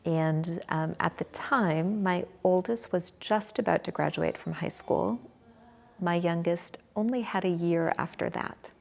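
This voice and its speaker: An American woman in her forties from Michigan, answering interview questions in a measured, thoughtful way with frequent pauses. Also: fairly low pitch, gravelly. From Michigan, USA. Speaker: interview guest, volunteer. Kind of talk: conversational interview.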